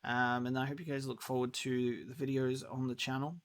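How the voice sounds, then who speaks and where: A man's voice: medium pitch, flat delivery, a little fast. A man streaming games, chatting casually to viewers in a rambling, hesitant way as if thinking aloud. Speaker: game streamer. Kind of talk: casual livestream sign-off chat.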